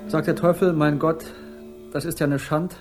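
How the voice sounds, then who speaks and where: Solemn young hippie poet played by an actor, highish voice. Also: male voice, steady tone, moderate pace, music in the background, close to an everyday voice. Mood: mock-solemn reciting. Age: young adult. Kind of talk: poetry recital within a radio drama.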